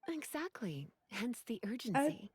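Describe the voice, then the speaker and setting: An actress playing an elegant, languid sorceress librarian in a fantasy game, teasing and refined. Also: female voice, a little high, big pitch swings, measured pace, darkish tone, husky, no room sound, studio quality, full character voice. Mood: poised. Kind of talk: scripted game dialogue. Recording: music behind the voice.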